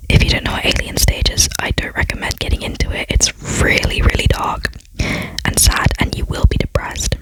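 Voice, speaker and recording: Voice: normal speed, poor audio. A young adult chatting casually in a whisper, ASMR-style, in a rambling, self-deprecating way as a video creator.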